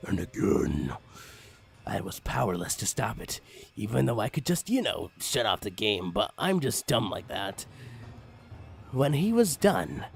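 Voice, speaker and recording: A man narrating a comic horror story in a theatrical, mock-ominous manner with drawn-out phrases and a raspy voice. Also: medium pitch, a little mellow. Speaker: creepypasta reader.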